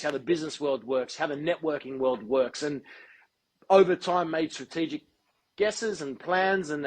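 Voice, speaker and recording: A man being interviewed as a guest, speaking in a measured, articulate and deliberate way. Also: a little high, slightly rough, rough recording. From Australia. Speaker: guest, football TV presenter. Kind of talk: podcast interview.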